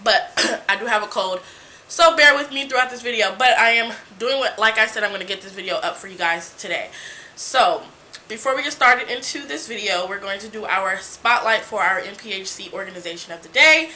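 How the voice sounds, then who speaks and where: Bright-voiced congested young woman vlogger. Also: medium pitch, brisk, a little hiss or hum. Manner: chatty, many fillers and restarts. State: congested from a cold. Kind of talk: casual story time. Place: small indoor room before a folding screen. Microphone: camera in front, seated at mid distance.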